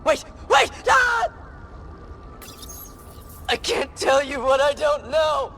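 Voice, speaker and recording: Shrieking, terrified alien captive. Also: male voice, very high-pitched, sing-song, takes it slowly, gravelly, raised voice, noisy background, heavily acted. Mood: agonized terror. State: crying out in pain. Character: terrified alien captive under torture, pleading. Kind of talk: video game cutscene acting.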